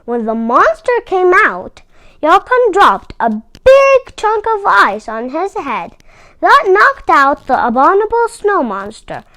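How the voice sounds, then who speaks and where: A woman storyteller reading a children's storybook aloud with expressive, emphatic phrasing. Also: very high-pitched, dry recording, poor audio.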